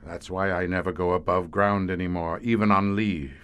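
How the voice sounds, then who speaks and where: A man's voice: low voice, fairly even intonation, fairly bright tone, clean tone. An actor playing a haunted older police inspector in a radio horror drama, brooding and theatrical in his delivery. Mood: weary, anguished. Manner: slow, brooding, grandiose and theatrical. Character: haunted, doomed older male tunnel police inspector, slowly turning monstrous.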